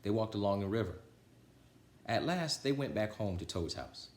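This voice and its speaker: A man with a fairly low voice reads and chats as a children's storytime host. His intonation is very animated, and the room around him echoes. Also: moderate pace. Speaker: storytime reader. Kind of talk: children's storybook narration and casual chat.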